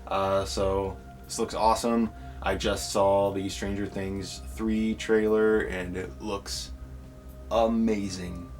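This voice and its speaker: A man's voice: fairly low pitch, level tone, slow, faint music behind. A young man with a congested, slightly nasal voice, chatting enthusiastically in a casual book vlog. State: congested, stuffy nose. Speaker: book vlogger.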